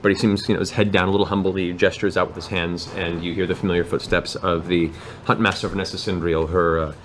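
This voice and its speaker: A man acting as game master, narrating a tabletop fantasy game in vivid, halting description. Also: deep voice, little pitch movement, fairly bright tone, a little hiss or hum.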